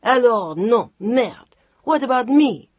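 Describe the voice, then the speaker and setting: A furious young Frenchwoman screams and pleads in a high, husky voice. Her lines cut through a dry, close recording. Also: animated, slow, dry recording, muffled recording. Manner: shrill, shouting, tearful. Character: furious jealous French mistress, young woman. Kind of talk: audiobook character voice.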